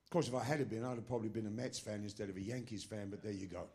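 A man's voice: on the low side, fairly even intonation, rapid-fire, a little mellow. A middle-aged man preaching a sermon as pastor of his church, with a non-American accent, emphatic and asking the congregation questions. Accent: foreign, not American.

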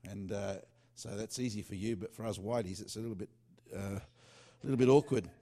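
A man's voice: lowish voice, brisk, dark tone. A man who writes worship songs, talking casually in a loose, rambling way with many fillers and restarts. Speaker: worship singer-songwriter.

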